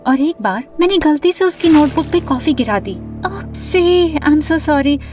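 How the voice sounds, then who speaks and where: A young female student with a high, dramatic voice. She confides her cartoon tale like an imaginative, anxious girl in love. Soft music sits under her words. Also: animated, takes it slowly. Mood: flustered. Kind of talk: animated first-person story narration and dialogue.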